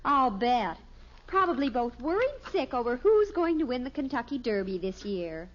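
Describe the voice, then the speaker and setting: A woman's voice: fairly high pitch, very animated, normal speed. Sardonic American teenage girl, old radio. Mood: sardonic grumbling. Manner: sarcastic, grumbling. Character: sardonic, exasperated teenage daughter of the family. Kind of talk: 1950s radio sitcom acting. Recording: muffled, hissy old radio recording.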